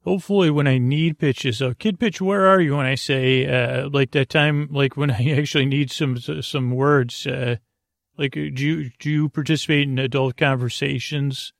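A man with a creaky, fry-laden voice improvises a bedtime monologue as a podcast host. He speaks at a middle pitch, gently and soothingly, wandering, pausing and doubling back on his words. The sound is dry, with very little room. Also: fairly even intonation, dry recording. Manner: meandering, halting, trailing off and repeating words; gentle, soothing address to the listener.

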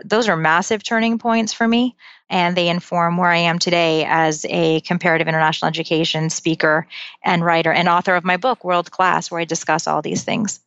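A woman's voice: on the low side, a bit bright, clear, resonant tone, no room sound. A woman in her forties, a guest author, answering interview questions with methodical, date-by-date storytelling. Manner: methodical, dates-driven storytelling. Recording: dull lo-fi audio.

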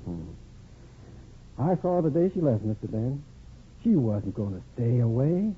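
An actor playing a gentle, devout servant man, hesitant and deferential, in a 1950s radio drama. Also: mid-range voice, big pitch swings, a little slow, husky, heavily acted. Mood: gentle, earnest. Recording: tinny, band-limited old radio broadcast.

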